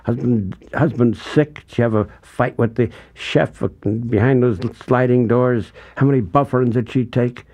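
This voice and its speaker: Animated older man telling stories. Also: mid-range voice, a little slow, few pauses. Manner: animated storyteller, emphatic, restarts sentences. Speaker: radio interviewer. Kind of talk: casual interview conversation.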